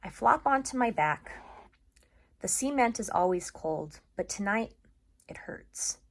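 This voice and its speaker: Slow, expressive woman reading to children. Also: medium pitch. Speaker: read-aloud reader. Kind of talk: children's story read-aloud with informal recap.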